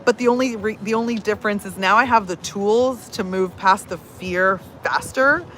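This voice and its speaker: Woman, 30s, coach-vlogger; relaxed filler-heavy chat, mid-range voice. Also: medium pitch, normal speed, a little hiss or hum. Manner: chatty, many fillers, reads comments aloud. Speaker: vlogger and personal growth coach. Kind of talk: casual chat to camera.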